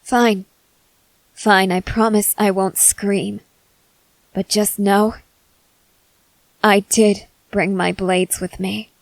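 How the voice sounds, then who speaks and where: Casting: actress as stern young female fighter, wary and intense, unhurried delivery, very dry close sound, ASMR drama. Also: medium pitch, level tone, vocal fry, no room sound, poor audio. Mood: threatening. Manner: slow, deliberate, terse, intense delivery with pauses. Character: stern young female soldier, suspicious, guarded, threatening. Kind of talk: ASMR character roleplay, dramatic acting.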